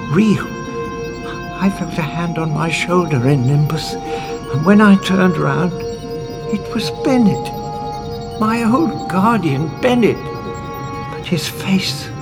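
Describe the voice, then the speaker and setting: A man acting in an audio drama as an earnest, troubled time traveller, telling his story in a measured, weighty way. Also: on the high side, animated. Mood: haunted, grave. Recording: music under dramatic dialogue.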